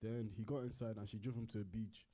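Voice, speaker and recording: A man's voice: lowish voice, steady tone, fast talker, mellow. A man casually retelling a story in a rambling, repetitive way and correcting himself as he goes. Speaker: co-host.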